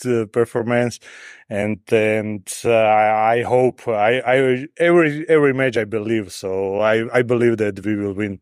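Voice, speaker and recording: Slovak man in halting, smooth-voiced English. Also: on the low side, steady tone, no echo, studio quality. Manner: halting English, repeating words while searching for them. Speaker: football fan interviewed before a match.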